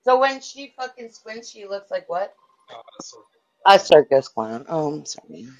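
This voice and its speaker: A woman's voice: medium pitch, sing-song, unhurried, muffled recording. A woman hurling aggressive, profane taunts in a heated argument, talking into a phone. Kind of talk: heated insult exchange in a live audio chat room.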